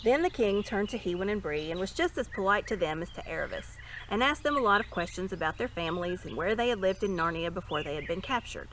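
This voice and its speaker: A woman reading a story aloud in an expressive narrating style. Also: mid-range voice, quick pace, few pauses, slight background noise. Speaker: storybook reader.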